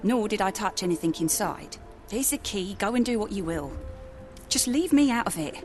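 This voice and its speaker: A woman's voice: mid-range voice, lively intonation, moderate pace, a little mellow, soft background music, lightly acted. An actress playing a guarded, plain-spoken woman who runs a Victorian port tavern in a video game. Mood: reluctant. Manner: wary, matter-of-fact. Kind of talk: video game cutscene acting.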